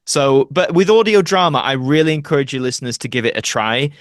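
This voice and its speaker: A man's voice: medium pitch, a little fast, no echo, clean studio sound. A British man talking casually as a podcast guest, explaining things in an earnest, conversational way. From United Kingdom.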